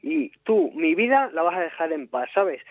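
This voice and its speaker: A man's voice: high-pitched, sing-song, moderate pace, vocal fry, a loud crowd. A man with an accent from Spain, arguing bluntly and defiantly on the telephone, with swearing. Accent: Spanish, from Spain. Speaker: prank call victim. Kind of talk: heated phone argument. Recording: dull muffled telephone line.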